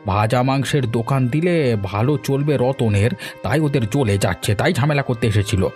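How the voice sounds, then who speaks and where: A man's voice: medium pitch, a little fast, a little mellow, faint music behind, close to an everyday voice. A man voicing a righteous, hot-headed older village man who scolds indignantly in a cartoon. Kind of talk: cartoon dialogue acting.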